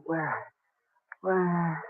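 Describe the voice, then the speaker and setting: Wounded young cowhand, folksy Western drawl, weak and halting, slightly high voice, narrow vintage radio sound. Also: male voice, fairly even intonation, dry recording. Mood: faint, grateful. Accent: American Western drawl. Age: young adult. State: in pain, weak. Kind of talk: 1950s radio western acting. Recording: muffled, tinny old radio recording.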